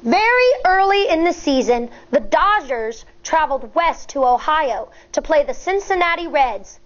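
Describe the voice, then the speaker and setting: High-pitched woman reading aloud to children. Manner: expressive, deliberate storytelling with explanatory asides. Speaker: elementary school teacher. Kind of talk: children's read-aloud with teaching asides. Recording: dull, lo-fi sound.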